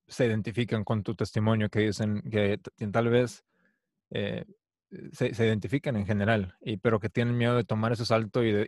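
A man hosting a faith interview, asking measured, polite questions and closing the episode. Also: fairly low pitch, a little mellow, no room sound, clean studio sound. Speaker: host, interviewer. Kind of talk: interview and channel outro.

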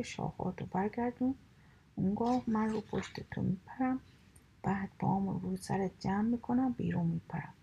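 A woman's voice: medium pitch, vocal fry, warm tone, lo-fi sound, slight background noise, natural voice. A woman voicing a cunning male coyote in a whispered fable, smug and persuasive.

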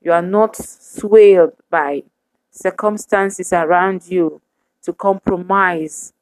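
Woman preacher, slow measured delivery, low voice, dry close sound. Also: fairly even intonation, darkish tone, no echo, low-quality recording. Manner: measured, emphatic repetition. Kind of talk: sermon to women.

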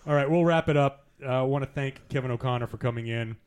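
A man hosting a podcast, talking in an easy, chatty way at a middle pitch. He laughs easily and often starts a sentence over. It is a relaxed in-person conversation. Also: fast talker, clean tone. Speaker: podcast host. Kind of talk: casual podcast chat. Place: in-person recording in Las Vegas.

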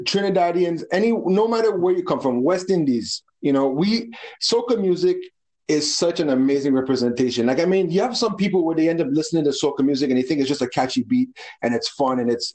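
A young man from the Bahamas, a DJ guest on a casual podcast, talking in a hyped, slangy way and giving shout-outs. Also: a little high. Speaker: DJ and carnival spokesperson guest.

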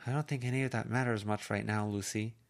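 A man's voice: on the low side, fairly even intonation, quick pace, voice pushed up, natural voice. A man reading an amateur audiobook, voicing an anxious, earnest teenage girl who pleads hesitantly. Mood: worried.

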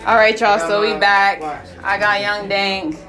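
A woman's voice: mid-range voice, level tone, measured pace, music in the background. A woman from Los Angeles hosting a podcast interview in loose, slangy casual chat, often repeating herself. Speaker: podcast host. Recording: crowd chatter behind the voice. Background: loud crowd talking.

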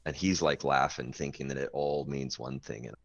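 A man with a deep voice in a casual podcast chat, telling stories in an animated, sweary way. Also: normal speed, creaky, dry recording. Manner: animated storytelling, swearing freely. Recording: dull, muffled lo-fi sound.

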